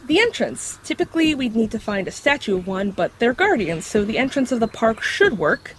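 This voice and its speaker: A knowledgeable mythical creature in the shape of a dog, speaks in a high, very animated voice. It explains things matter-of-factly with a wry edge, audio drama style, over faint background noise. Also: female voice, fairly quick, poor audio. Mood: explaining. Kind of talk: scripted audio drama acting.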